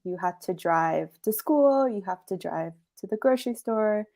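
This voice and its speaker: A woman's voice: medium pitch, moderate pace, vocal fry. A young woman who grew up in the US, chatting as a guest in an easy, friendly way with small laughs. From Peru, grew up in the US. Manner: friendly, laughs between phrases, relaxed. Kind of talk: casual interview chat.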